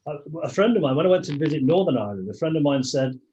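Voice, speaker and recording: Guy hosting a video call, mellow voice, chatty and rambling, kinda muffled sound. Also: on the high side, lively intonation, very fast. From England. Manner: chatty, restarts sentences, chuckles often. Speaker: online meeting host. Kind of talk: casual welcoming chat.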